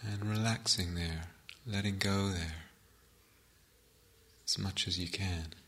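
A man giving guided meditation instructions as a teacher, gently and unhurriedly, with long pauses. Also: deep voice, steady tone.

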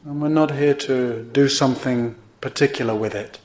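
A man teaching a guided meditation in gently instructive, unhurried phrases with pauses between them. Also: medium pitch, steady tone. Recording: roomy, echoing indoor sound.

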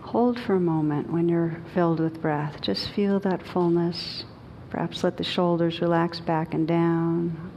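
Woman, meditation teacher, gentle and unhurried, soft even intonation, dark mellow tone, long pauses. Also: fairly low pitch, a little hiss or hum. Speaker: meditation teacher leading a guided meditation. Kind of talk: guided meditation.

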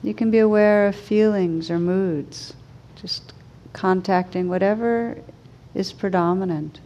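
A woman meditation teacher leading a guided meditation in a gentle, soothing manner, with unhurried pauses between short phrases. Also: medium pitch, fairly even intonation.

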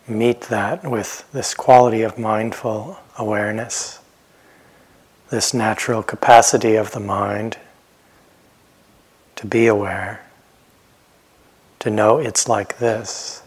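Man, meditation teacher, rather low pitch, breathy husky tone, gentle, very slow phrasing, long pauses. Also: steady tone. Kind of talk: guided meditation instruction.

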